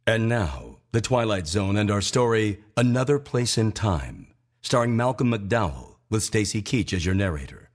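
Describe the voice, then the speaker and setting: A man narrating an eerie radio drama as a wry, ominous storyteller, with a deliberate, dramatic delivery. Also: fairly low pitch, lively intonation, close to an everyday voice. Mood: formal, announcing.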